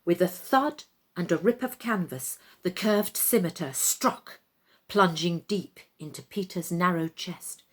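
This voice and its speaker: A woman's voice: lowish voice, measured pace. A woman reading a story aloud dramatically, with theatrical, suspenseful phrasing.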